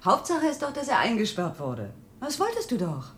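An actress playing a breezy, teasing girlfriend in a radio drama, chatty and expressive. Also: on the high side, normal speed. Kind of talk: German radio drama acting.

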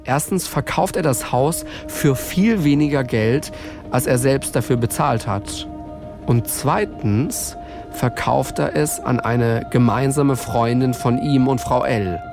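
A man's voice: mid-range voice, slow, gravelly, over music. A man reading scripted true-crime narration as a podcast host.

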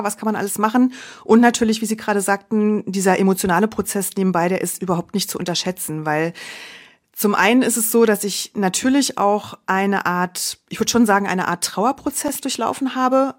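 A woman from Berlin in her forties shares her story as an interview guest, thoughtful and candid. She circles back and restarts sentences as she gathers her thoughts, in a voice of ordinary middle pitch. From Germany, Berlin. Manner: reflective, candid, with frequent restarts. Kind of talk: personal interview.

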